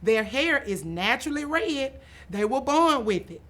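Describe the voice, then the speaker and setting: A woman voicing a patient mother in a children's story, polite, measured and matter-of-fact. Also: sits high, big pitch swings, fairly bright tone, voice pushed up, full character voice. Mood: politely firm. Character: patient, polite, firm mother of two girls.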